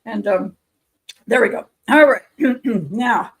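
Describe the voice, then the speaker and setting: A woman who works as a criminal profiler hosts a casual live members' show, rambling and chatty, losing her voice and clearing her throat. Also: fairly high pitch, takes it slowly. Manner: chatty and rambling, with frequent fillers and soft laughs. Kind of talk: casual live-stream chat.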